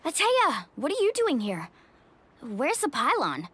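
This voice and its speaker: A woman voicing an upbeat, brave young surfer warrior in a video game, energetic and casual. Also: high-pitched, a little slow, slight background noise, full character voice. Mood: concerned.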